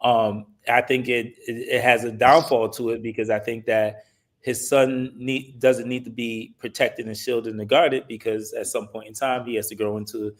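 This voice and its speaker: Guy on a sports panel, pretty flat medium voice, halting, hunting for words. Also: mid-range voice. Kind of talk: casual sports opinion talk.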